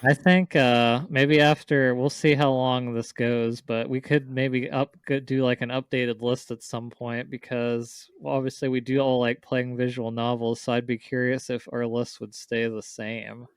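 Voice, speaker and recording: A man's voice: mid-range voice, level tone, unhurried, few pauses, clear, resonant tone, no room sound. A man chatting casually as a podcast co-host. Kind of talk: casual chat.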